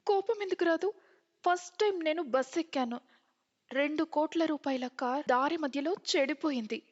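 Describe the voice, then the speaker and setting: A woman's voice: sits high, normal speed, lo-fi sound, lightly acted. A woman voicing a rich, haughty, indignant young woman in a cartoon story.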